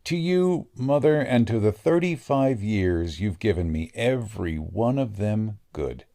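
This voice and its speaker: A man plays a sentimental old family man in a radio drama. His voice is fairly low and mellow, rising and falling expressively in halting, heartfelt phrases. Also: animated, vocal fry. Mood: tender. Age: older. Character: kindly, sentimental old grandfather.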